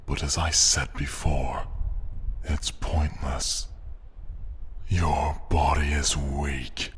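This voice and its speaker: A man playing a haughty, menacing dragon who taunts condescendingly in a breathy rumble, in a fantasy ASMR roleplay. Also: flat delivery, heavily acted. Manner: slow, deliberate, condescending taunting. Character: menacing, haughty male dragon, mocking and possessive.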